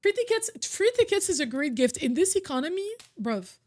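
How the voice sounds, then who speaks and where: A woman's voice: extremely high pitch, sing-song, a little fast. A young woman whose first language is French, chatting casually with her viewers on a live stream, full of "like" and repeated phrases. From Quebec, originally Cameroon.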